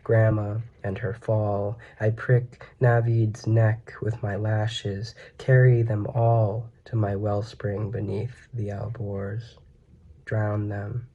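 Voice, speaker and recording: A young man in his twenties solemnly reads his poetry in a measured way, in a small room on a webcam mic. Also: on the low side, dull audio. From United States. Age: 20s. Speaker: poet. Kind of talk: poetry reading. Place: small plain room indoors.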